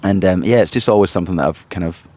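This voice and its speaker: A man with a broad Glasgow Scottish accent and a notably low voice talks through his story in a relaxed interview. He hesitates often, doubling back on words before carrying on. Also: fast talker. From Scotland. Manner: hesitant, many restarts and fillers. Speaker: podcast guest, charity founder. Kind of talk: casual interview chat.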